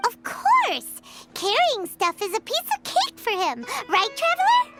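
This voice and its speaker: A woman voicing a tiny, excitable, childlike fairy companion with a squeaky voice in a video game. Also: unhurried, loud music behind the voice, exaggerated voice. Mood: chirpy, eager. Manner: refers to self in third person, exclaiming.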